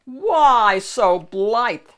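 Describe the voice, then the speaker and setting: A repulsive, swaggering ogre from a picture book, played by a woman. He is loud and imperious, snapping out his words with rude relish at a slow pace. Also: a little high, animated. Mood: bossy, mocking. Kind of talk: children's picture book read-aloud.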